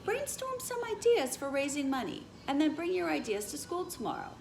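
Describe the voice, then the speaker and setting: A patient female schoolteacher from a children's storybook, as a woman reads her aloud, explains things with emphasis. Her pitch is high and swings widely, over a faintly noisy recording. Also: big pitch swings, normal speed, full character voice. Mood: firm, encouraging. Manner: clear, instructive, emphatic.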